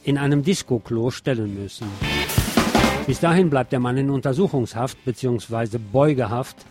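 A man's voice: medium pitch, moderate pace. A man reading scripted radio news and commentary in a wry, sardonic manner. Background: guitar music.

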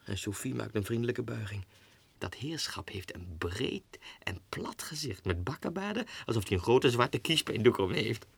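A man's voice: medium pitch, big pitch swings, moderate pace, creaky, husky, no room sound, heavily acted. A man voicing a pampered, gossipy female lapdog's letters in an affected, dramatic way for a 1960s radio drama.

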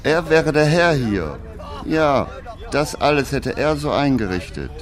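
A man's voice: medium pitch, sing-song, measured pace. A man acting in a radio play, relaying a self-important visionary's boasts in emphatic, clipped phrases. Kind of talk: radio play narration in reported speech.

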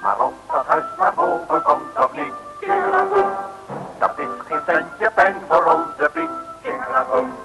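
An actor playing a jaunty narrator of a comic Dutch radio serial, delivering playful, rhythmic rhyming verse. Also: male voice, high-pitched, wildly swooping pitch, measured pace, pauses often, sharp tone, faint music behind, heavily acted, low-quality recording.

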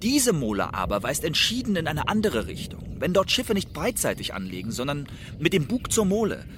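Agitated spy who wants to be a playwright, radio play, pretty high lively voice, noisy background. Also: male voice, moderate pace. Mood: intent, explaining. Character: restless, hunted spy and would-be playwright.

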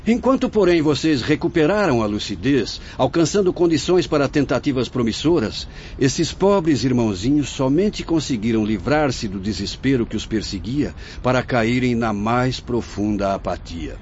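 A man's voice: medium pitch, lively intonation, moderate pace, hardly any pauses, darkish tone, lightly acted. A man acting in a radio drama as a grave, fatherly Brazilian spirit director who instructs his apprentices in a solemn, declamatory way. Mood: solemn, sorrowful. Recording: muffled lo-fi audio with faint noise.

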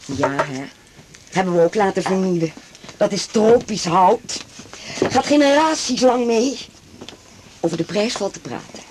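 An actress playing a genteel, calculating doctor's wife in a radio drama, with affected, gushing sales chatter. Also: female voice, medium pitch, expressive, unhurried, long pauses, background noise, lightly acted. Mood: smooth, insistent. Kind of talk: 1980s Dutch radio drama acting.

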